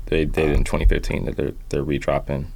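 A man's voice: extremely low pitch, monotone, a little fast, creaky, darkish tone. A man speaking as a podcast guest in a laid-back, informal, slangy way. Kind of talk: casual podcast chat about sneakers.

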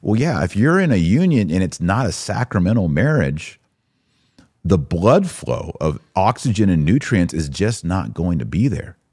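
Low-pitched male voice, American accent, opinionated podcast host, conversational live commentary. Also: very animated, moderate pace, a little mellow, breathy edge. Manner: conversational, opinionated, rhetorical questions. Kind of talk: live-stream commentary, answering chat comments.

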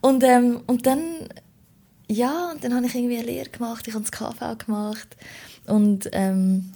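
A woman's voice: on the high side, fairly even intonation, a little slow, gravelly, dark tone. A woman with a Swiss German accent giving an informal, reflective personal account as an interview guest, searching for her words. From Switzerland. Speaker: podcast guest, political candidate.

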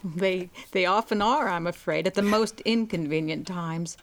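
An actress playing a kindly, practical neighbor in a radio drama, soothing and gently reasoning with a worried friend. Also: female voice, on the low side, normal speed, a little hiss or hum, close to an everyday voice. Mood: consoling. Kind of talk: stage-style radio drama acting.

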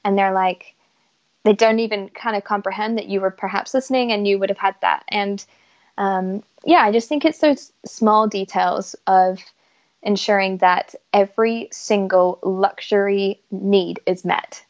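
A woman's voice: medium pitch, fairly even intonation, measured pace, a little mellow. Chatty woman with a mixed Canadian-British accent. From Isle of Man, raised in Canada. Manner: chatty, enthusiastic, many fillers. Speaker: yacht chief stewardess, guest. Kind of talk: casual podcast interview. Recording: slightly dull lo-fi audio.

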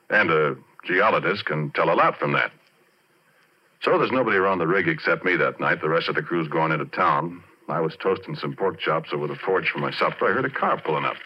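Low-voiced folksy old roughneck. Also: male voice. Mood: matter-of-fact. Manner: colloquial, conversational, addressing the listener directly. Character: retired oil-field roughneck, folksy, weathered storyteller. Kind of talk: 1940s radio drama first-person narration. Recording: muffled vintage 1940s radio recording.

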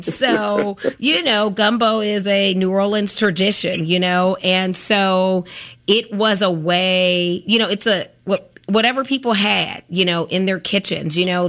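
A woman whose voice is raspy today from sinus trouble hosts a cooking segment on studio radio. She talks in an easy, chatty way, padding her sentences with little fillers. Her pitch sits in the middle range for a woman. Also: a bit bright. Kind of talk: casual radio chat.

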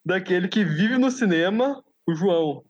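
A man's voice: high-pitched, animated, normal speed, a little mellow, lo-fi sound. A man with a Brazilian Portuguese accent chatting playfully as a podcast co-host. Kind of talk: casual podcast chat.

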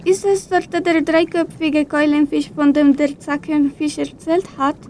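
A woman's voice: sits high, level tone, unhurried, darkish tone, slight background noise. A teenage girl playing a caring rainbow fish, brave yet fearful, in an amateur school radio play.

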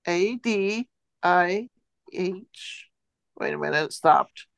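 A woman's voice: on the low side, expressive, takes it slowly, gravelly, soft background music. A woman co-hosting a podcast, casually running a word quiz and chatting with the others. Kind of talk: casual chat, quizzing co-hosts.

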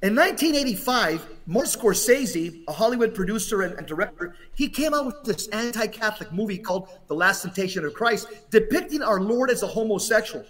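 High-pitched emphatic man, off-mic. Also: expressive, brisk, few pauses, fairly bright tone, distant mic, rough recording. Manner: emphatic, preaching, rhetorical questions. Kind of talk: impassioned talk commentary.

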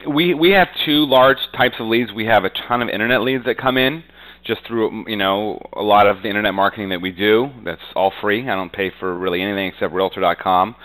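A man's voice: lowish voice, brisk, fairly bright tone, poor audio. A man being interviewed as a real estate agent, talking conversationally and confidently, with frequent verbal tags. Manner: frequently punctuates talk with "you know".

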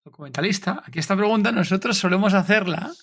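A man's voice: sits high, fast talker, vocal fry. A man, co-hosting a podcast in a casual, friendly way and steering the conversation. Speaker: podcast co-host. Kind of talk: casual interview chat.

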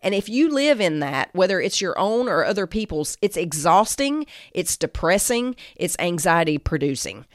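A woman giving a devotional Bible teaching, part scripted and part conversational, in an earnest, emphatic way with deliberate pauses. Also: lowish voice, very animated, no room sound, studio quality. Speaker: Bible teacher and show host.

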